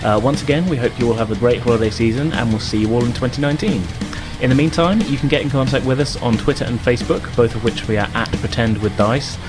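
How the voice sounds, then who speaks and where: A man's voice: medium pitch, fast, hardly any pauses, music in the background. A man talking casually as game master and host of a tabletop role-playing podcast.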